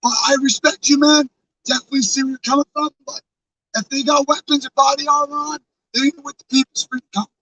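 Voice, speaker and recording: A hoarse man losing his voice, talking casually and earnestly to his live-stream chat, rambling with fillers and restarts. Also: very high-pitched, little pitch movement, fast, pushed and bright, no room sound, recorded from a distance, lo-fi sound. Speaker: live streamer with a truck convoy. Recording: off-mic with faint background noise.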